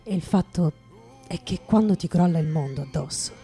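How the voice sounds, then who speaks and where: A woman acting a bitter, hard-drinking, starving writer in a theatrical radio monologue, brooding and halting. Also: fairly low pitch, mellow, soft background music, lightly acted. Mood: bitter, reflective.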